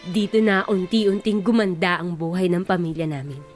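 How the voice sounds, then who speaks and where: A woman's voice: lowish voice, steady tone, moderate pace, faint music behind. A woman giving a scripted first-person testimony as narration for a radio drama.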